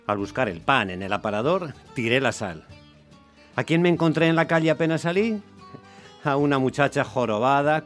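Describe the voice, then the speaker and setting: A male actor gives us an aggrieved taxi driver in a radio play, a superstitious man with a medium-pitched voice. He complains with animation and puts rhetorical questions to the listener, with music behind him. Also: close to an everyday voice. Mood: grumbling, then indignant. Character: aggrieved, superstitious male Roman taxi driver telling his bad day. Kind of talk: dramatic monologue in radio theatre.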